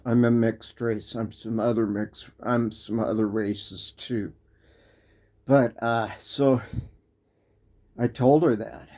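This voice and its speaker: An American man telling a personal story in a casual, halting way, full of restarts and fillers. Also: mid-range voice, fairly even intonation, warm tone. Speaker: vlogger. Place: living in Japan.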